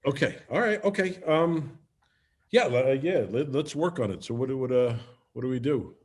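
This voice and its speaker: A man's voice: mid-range voice, darkish tone, slightly rough, lightly acted. A man playing a sheepish, evasive, affectionate sitcom husband who stammers and hedges through a comedic script read. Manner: stammering, halting, hedging. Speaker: sitcom actor at a cast reunion table read.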